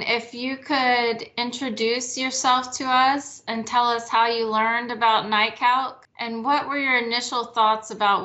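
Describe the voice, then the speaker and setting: Host: woman with a bright, sharp voice, sincere tone, muffled lo-fi audio. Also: on the high side. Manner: heartfelt, sincere. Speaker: program host. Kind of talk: interview hosting. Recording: dull lo-fi audio.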